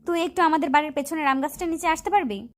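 A woman's voice: high-pitched, fast talker, natural voice. A woman playing a feisty, bossy college girl in love, scolding and pleading in a romantic audio story. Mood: indignant.

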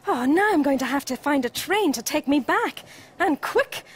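A woman playing a friendly, excitable children's show presenter, talking in simple phrases with exaggerated expression. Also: sits high, normal speed. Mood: flustered.

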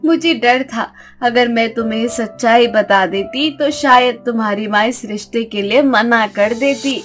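A woman's voice: fairly high pitch, unhurried, faint music behind, lightly acted. A woman voicing a fair-minded, protective older mother-in-law who speaks earnestly in a moral story. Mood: confessing. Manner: admonishing, earnest.

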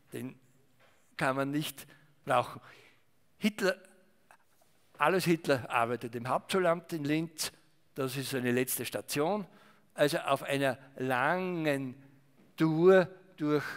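An older man giving a rambling talk as a historian in front of a small audience, full of fillers and restarts. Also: a little high. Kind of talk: discursive public talk with slides. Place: salon event room with small audience. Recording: reverberant hall.

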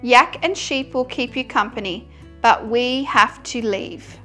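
A woman's voice: on the high side, measured pace, sharp tone, faint music behind. A woman voicing a practical, patient goose in a children's storybook, speaking in a firm, matter-of-fact manner. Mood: apologetic, firm.

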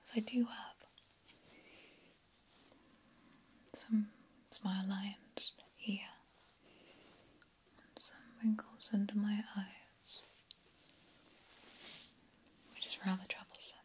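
Woman playing a slow, whispering vampire countess. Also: medium pitch, level tone, close to an everyday voice. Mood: vain, confiding. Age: young adult. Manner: slow, deliberate, formal whisper. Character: vain, menacing vampire countess, politely attentive hostess. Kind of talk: whispered ASMR roleplay. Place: small dark indoor set, close to camera. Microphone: close ASMR microphone.

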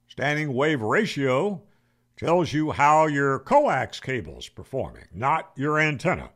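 American man in his seventies, animated swooping intonation, slightly high pitch, wry conversational explainer, close desk mic at home. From United States. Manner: conversational, opinionated, wry, asks rhetorical questions. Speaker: ham radio video host. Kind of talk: casual explainer to camera. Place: home room, indoors. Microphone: desk microphone on boom arm, close.

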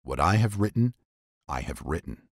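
A man voicing a troubled, weary but commanding Roman governor with deliberate, dramatic delivery in a scripture reading. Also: deep voice, sing-song, slightly rough, no room sound, clean studio sound, heavily acted. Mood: grave.

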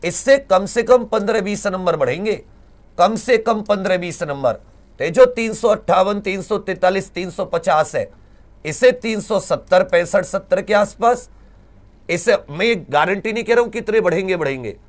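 High-pitched exasperated man teaching. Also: expressive, moderate pace. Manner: exasperated, repeats points with rhetorical questions. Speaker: exam coaching teacher. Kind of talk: informal lecture explaining exam cut-offs to students. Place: indoor teaching studio at a large digital board. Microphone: lapel mic.

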